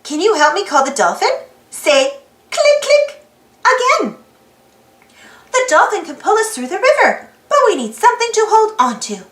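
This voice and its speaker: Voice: very high voice, wildly swooping pitch, moderate pace, a bit bright, reverberant. A storybook reader with an exclaiming manner, reading aloud for children and prompting young listeners with questions. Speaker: storybook reader and channel host.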